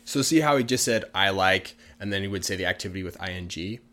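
A man's voice: low-pitched, brisk, fairly bright tone. A man who is a native English speaker, patiently explaining language points in a casual lesson with lots of examples. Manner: patient, plain-spoken explaining with many examples. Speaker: English teacher.

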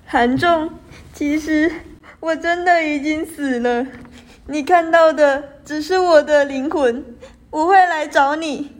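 A young woman playing the gentle, pleading ghost of a princess in an amateur radio drama. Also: high-pitched, takes it slowly. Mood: wistful pleading. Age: young adult. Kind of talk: student radio drama acting.

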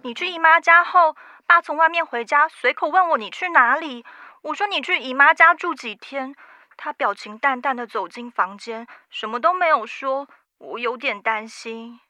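An earnest, caring daughter of twenty. Her voice is high and piercingly bright, recorded very dry, in radio drama style. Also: animated, normal speed, no room sound. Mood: worried. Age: 20s. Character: earnest twenty-year-old daughter, worried and protective.

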